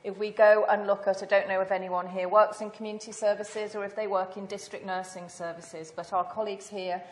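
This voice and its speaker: A woman in her sixties, a senior British nurse, answering on a panel in a loud, measured voice with frequent fillers and restarts. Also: medium pitch, level tone. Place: lecture hall. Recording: echoey hall.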